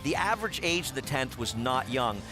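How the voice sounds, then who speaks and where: A racing analyst breaks down races and trades dry jokes in the studio. His voice is mid-pitched, with music clearly playing behind him. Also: big pitch swings, fairly quick. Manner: chatty, dry humor. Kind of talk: race analysis and studio banter.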